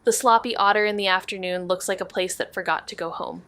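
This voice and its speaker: An actress playing an observant, understated investigator in a noir audio drama, measured and deadpan in narration and dialogue. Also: female voice, mid-range voice, no room sound, faint music behind, natural voice. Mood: brooding narration.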